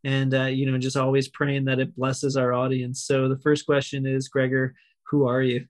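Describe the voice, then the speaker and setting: Host read: upbeat man, monotone pitch, dry close sound. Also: normal speed, no room sound. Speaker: podcast host. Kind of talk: casual interview chat.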